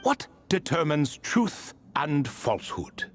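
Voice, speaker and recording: An actor playing an ancient, wry and refined spirit who tells stories in a proud, wistful way in a video game. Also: male voice, a little high, very animated, slow, faint music behind, exaggerated voice. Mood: wry, courtly. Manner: theatrical, refined, leisurely storytelling. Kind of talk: video game character dialogue.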